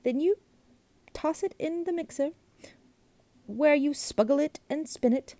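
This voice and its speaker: A woman's voice: high-pitched, unhurried, heavy background noise, pitched above the performer's own voice. A woman voicing a greenish male creature from a storybook, a pushy chatterbox who talks on and on in a bossy singsong. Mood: jabbering.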